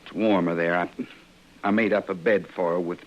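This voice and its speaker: A proud young frontier man, played by a male actor speaks low with a rural American accent. He is guarded, desperate and plain-spoken. It sounds like a muffled old radio western. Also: fairly even intonation, brisk, close to an everyday voice. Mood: subdued, weary. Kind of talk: 1950s radio western acting.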